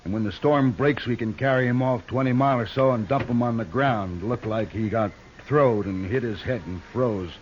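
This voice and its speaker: An actor playing a brutal, domineering outlaw in a 1950s American radio western, with a rustic drawl and menacing manner. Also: male voice, medium pitch, normal speed, few pauses, lightly acted. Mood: cold scheming. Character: cold-blooded brutal male outlaw bossing a captive marshal. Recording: dull old radio recording, faint hiss.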